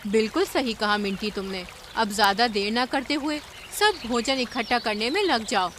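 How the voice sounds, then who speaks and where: A woman's voice: a little high, normal speed, fairly bright tone, slight background noise, close to an everyday voice. A woman voicing a commanding, dutiful ant queen in a cartoon story, speaking in a solemn, declamatory way. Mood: brisk, commanding. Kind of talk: Hindi animated cartoon story acting.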